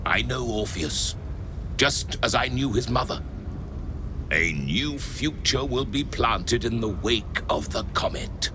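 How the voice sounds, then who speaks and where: A man's voice: mid-range voice, animated, slow pace, background noise. An actor voicing a proud, ancient male dragon in a video game, solemn and defiant, speaking in grand declarations. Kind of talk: video game cutscene acting.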